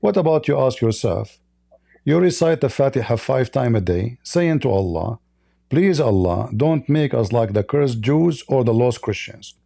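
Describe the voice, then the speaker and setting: A gravelly, dark-toned man hosts a live call-in debate. His first language is Arabic, and he argues in a mocking, combative way. The recording is dry and close. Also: mid-range voice, no echo. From Middle East. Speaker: host.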